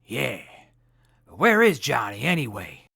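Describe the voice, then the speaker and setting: A man's voice: mid-range voice, big pitch swings, takes it slowly, creaky, sharp tone, slightly rough, no room sound, studio quality, full character voice. A man playing a laid-back wasteland crew leader in a radio drama, casual, slangy and breezily in charge. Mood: easygoing, confident. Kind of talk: post-apocalyptic radio drama acting.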